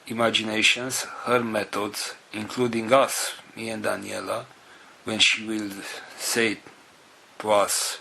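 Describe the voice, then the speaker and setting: A man addresses his group with organizational news, speaking in halting, non-native English. His phrases come in short pieces with long pauses between them, at a very slow pace. His voice is lowish yet bright, and a faint hiss sits behind it. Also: flat delivery, gravelly, rough recording.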